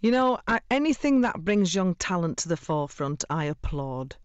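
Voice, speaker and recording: A woman's voice: on the low side, big pitch swings, moderate pace, smooth, dry recording, dull audio. Middle-aged woman, singer on a radio chat, gushing and laughy, dry studio sound. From Sheffield, England. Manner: warm, effusive, laughs often. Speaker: singer, interview guest. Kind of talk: radio interview.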